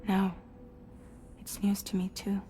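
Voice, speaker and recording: An actress playing a gentle, caring ally in cinematic game dialogue, sympathetic and hushed, almost whispering. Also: female voice, medium pitch, completely flat delivery, takes it slowly, a little hiss or hum, close to an everyday voice. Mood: subdued, sympathetic.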